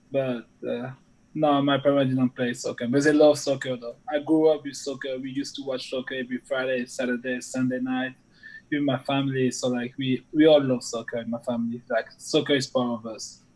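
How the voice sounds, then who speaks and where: A man's voice: mid-range voice, little pitch movement, moderate pace, a little mellow, low-quality recording. A man from France with a French accent, a soccer coach giving short, polite answers in an interview.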